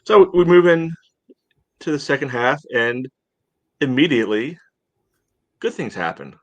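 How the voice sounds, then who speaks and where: A man co-hosting a soccer podcast, recapping casually and haltingly, leaning on "you know" a lot. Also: mid-range voice, animated, dry recording, dull audio. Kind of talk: casual match recap chat.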